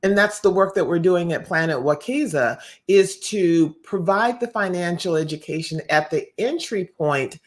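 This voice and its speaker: An interviewer hosting the conversation, asking thoughtful questions with careful, measured phrasing. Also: mid-range voice. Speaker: interviewer, host.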